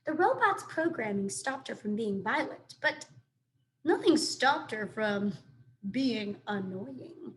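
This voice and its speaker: Lady with a high voice reading a kids book out loud, animated, bit of noise behind. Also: slow pace, rough recording. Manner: animated, dramatic sound effects and asides. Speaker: teacher reading a children's book aloud. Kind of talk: expressive read-aloud storytelling.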